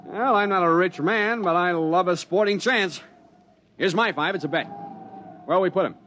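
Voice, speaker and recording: An actor playing a game, plain-spoken mountain climber in a 1950s radio drama. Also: male voice, high voice, moderate pace, fairly bright tone. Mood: sporting, easygoing. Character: rugged, game, easygoing male mountain climber. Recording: noisy old 1950s radio recording.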